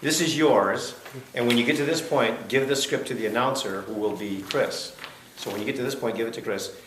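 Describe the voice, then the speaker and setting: A man with a medium-pitched voice talks informally to his reading group. He is halting and repeats himself. The room is echoey with faint noise. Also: a bit bright. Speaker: amateur playwright.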